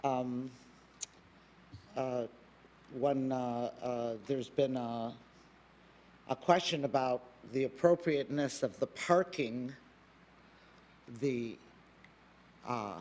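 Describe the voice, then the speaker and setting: A man speaking at a public planning meeting as a developer, halting, with many fillers and restarts. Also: medium pitch, darkish tone.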